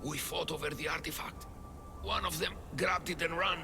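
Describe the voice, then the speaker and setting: A man's voice: a little high, fairly even intonation, moderate pace, gravelly, music in the background. An actor playing a wounded, dying male cultist who speaks in a weak, strained, ominous way and keeps coughing. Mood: haunted. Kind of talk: game cutscene acting.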